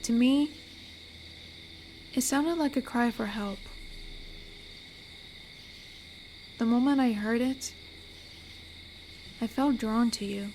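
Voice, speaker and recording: Woman as a slow-talking, amiable female werewolf. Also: fairly high pitch, slight background noise. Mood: tender. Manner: chatty, gentle, slightly awkward. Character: amiable, rambling female werewolf, protective forest guardian. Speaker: voice actor in an audio roleplay. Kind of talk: intimate scripted audio roleplay. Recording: night cricket ambience behind voice. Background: crickets and forest night sounds.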